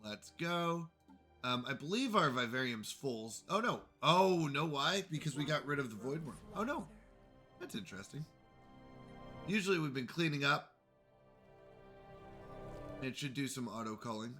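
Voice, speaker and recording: A man with a bright, forward voice talks casually through a live game session, sounding a little congested. He chats with viewers between moves, with faint music under him. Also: mid-range voice, animated, normal speed, pauses often. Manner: chatty, greets chat viewers. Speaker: streamer.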